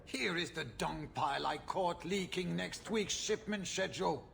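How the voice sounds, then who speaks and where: A haughty, gravelly-voiced merchant. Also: male voice, sits high, unhurried, fairly bright tone, slight background noise, full character voice. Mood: contemptuous anger. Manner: pompous, contemptuous, formal phrasing. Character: haughty, hot-tempered male merchant, foul-mouthed and ruthless. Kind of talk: video game cutscene acting.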